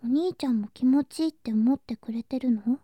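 A woman playing an affectionate, clingy younger girl cousin in an intimate bedtime ASMR drama, speaking softly and breathily, often in whispers, drowsy and coaxing. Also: high voice, brisk, slightly rough, dry recording. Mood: tender whispering. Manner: soft, drowsy, coaxing, sweetly childlike.

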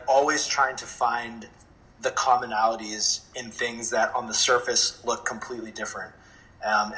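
A man speaking candidly and earnestly in a reflective interview, often pausing and restarting as he thinks. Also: mid-range voice, bright, forceful tone, poor audio. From born in America to Indian parents, grew up in several countries. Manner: earnest, candid, hesitant with frequent throat clearing. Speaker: interview guest, dance music producer.

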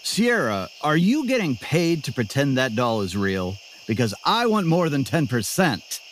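Deadpan wisecracking co-host. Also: medium pitch, unhurried, a little hiss or hum. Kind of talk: comedic audio sketch.

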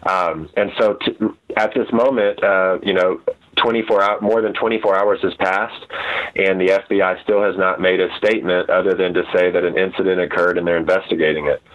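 A man giving an off-the-cuff news account as an interview guest, careful and halting, often repeating words. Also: low voice, fairly even intonation. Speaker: guest journalist, news editor. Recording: dull, lo-fi remote-guest audio.

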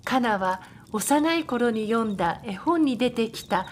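A woman's voice: on the high side, animated, measured pace, slight background noise. A woman reading scripted narration for a radio drama.

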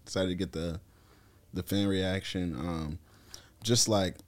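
A man's voice: deep voice, fairly even intonation, unhurried. A young man, a rapper guest, in a laid-back casual podcast chat full of slang. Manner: laid-back slangy talk, says bro a lot.

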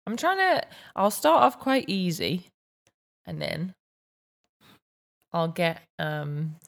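A woman's voice: on the low side, sing-song, slow, creaky. A woman chatting playfully with her fiancé, talking freely and saying "like" often. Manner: playful, chatty, many "like" fillers. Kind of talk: casual couple chat.

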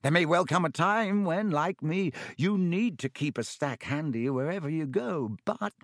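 A man voicing a vain, jovial teacher who booms with pompous, paternal self-satisfaction. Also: animated, normal speed, no room sound, clean studio sound. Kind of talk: audiobook character voice.

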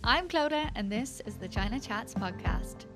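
A woman's voice: on the high side, lively intonation, moderate pace, over loud music. A young Irish woman with an Irish accent hosting a podcast, talking in a chatty, self-deprecating and wry way. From Ireland. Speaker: podcast host. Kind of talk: scripted podcast monologue.

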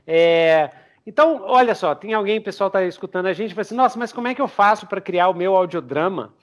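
A man's voice: sits high, animated, fast talker. A man with a Brazilian accent hosting a casual interview, informal and repetitive, dropping in the odd English term. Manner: informal, repetitive, peppers talk with tags and English terms. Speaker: podcast host.

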